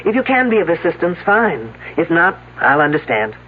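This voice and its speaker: An actor playing a sardonic, impatient police detective in a radio drama, with a dry, sarcastic delivery. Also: male voice, fairly high pitch, sing-song, moderate pace, phone-quality sound. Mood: sardonic, weary. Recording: noisy, band-limited old radio broadcast.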